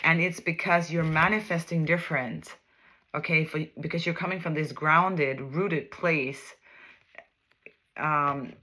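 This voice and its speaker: A woman's voice: deep voice, fairly even intonation, measured pace, bright, forceful tone. A woman with a Danish accent, a native Danish speaker, giving a casual tarot reading in English. She rambles, searches for words and keeps checking in with "okay?". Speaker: tarot reader.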